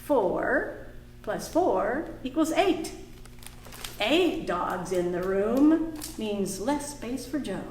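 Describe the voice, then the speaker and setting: Lady doing kids storytime, super slow and singsong, kinda high, echoey room. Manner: slow, singsong, animated for small children. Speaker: children's librarian reading aloud. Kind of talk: storytime read-aloud with sung refrains. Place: library room. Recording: echoey room, faint background noise.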